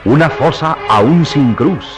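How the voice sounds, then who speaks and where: An actor playing a grave, literary storyteller, narrating a gloomy mystery serial in measured, dramatic tones. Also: male voice, on the high side, lively intonation. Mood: grave, somber. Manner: solemn, measured. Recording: music under the narration.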